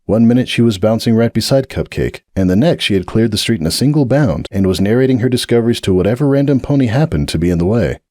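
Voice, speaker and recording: A man narrating a dramatic audiobook reading of a fanfiction story in a measured, expressive way. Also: fairly low pitch, dry recording, studio quality.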